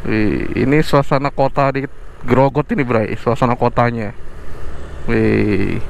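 A man riding a motorbike at night, chatting casually to viewers and his passenger in a joking, relaxed way. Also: medium pitch, a little slow, vocal fry, rough recording. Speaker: motovlogger. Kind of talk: casual riding vlog chat. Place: on a motorbike on night city streets. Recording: faint road noise from a helmet camera.

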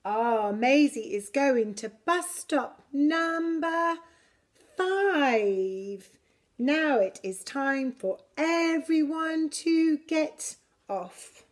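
A middle-aged woman reading a picture book to young children in an expressive, deliberate way. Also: sits high. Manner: slow, deliberate, expressive delivery for little children. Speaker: preschool story reader. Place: preschool classroom, indoors. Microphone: camera a short distance away.

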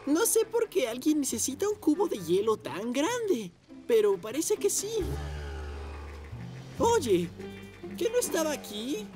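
A carefree, bemused animated vehicle: high, swooping, slow, exclamatory. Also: female voice, music in the background, exaggerated voice. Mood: puzzled. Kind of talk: children's animated series dub.

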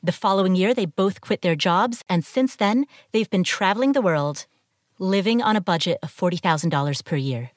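A woman's voice: mid-range voice, moderate pace, dry recording, clean studio sound. A woman from Ohio hosting a podcast, reading an intro and asking interview questions. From Ohio, USA. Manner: lively, expressive questioning. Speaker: podcast host.

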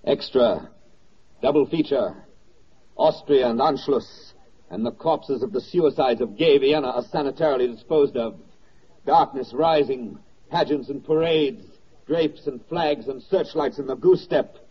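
An actor as a grave, sardonic narrator of a wartime 1940s radio drama, declaiming in a rhetorical style. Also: male voice, mid-range voice, unhurried, breathy edge. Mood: rising, proclaiming. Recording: dull 1940s radio record with music.

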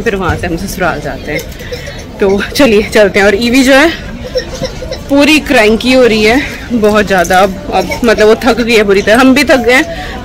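A middle-aged woman from India chatting casually in a travel vlog, mixing English words into Hindi, tired with a headache. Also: mid-range voice. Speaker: vlogger. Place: airport and then at home.

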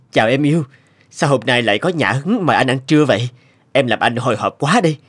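An actor voicing a courteous, devoted rich young boss and boyfriend in a cartoon drama, affectionate and earnest. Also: male voice, medium pitch, expressive, fairly quick, fairly bright tone. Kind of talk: animated drama dubbing. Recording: music clearly under the voice.

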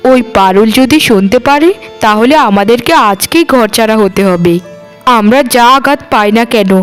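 A woman's voice: fairly high pitch, clean tone, lightly acted. A woman playing a dutiful, sensible housewife, the eldest sister-in-law, speaking earnestly in scripted cartoon dialogue. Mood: cautioning, aggrieved.

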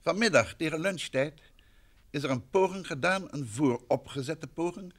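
An actor playing a courteous, assured gentleman investigator in an old radio drama, polite and measured. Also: on the high side. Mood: serious.

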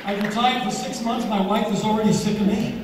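A man in his sixties doing a stand-up comedy routine on a theater stage, with a deadpan, self-mocking delivery. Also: sits high, a little fast, heavy background noise. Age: 60s. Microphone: handheld mic, filmed from far in the audience. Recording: echoey hall with crowd, from far off. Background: audience laughter and applause.

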